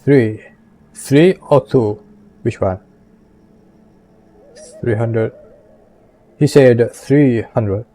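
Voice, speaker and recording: A man's voice: mid-range voice, drawn-out words, long pauses, dark tone, rough recording. A man streaming a game, reacting casually in short phrases and thinking aloud.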